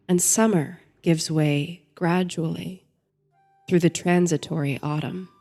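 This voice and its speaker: Slow, mellow woman narrating a sleep story. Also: lowish voice. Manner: soothing, gentle, measured delivery. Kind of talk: guided meditation and bedtime story narration.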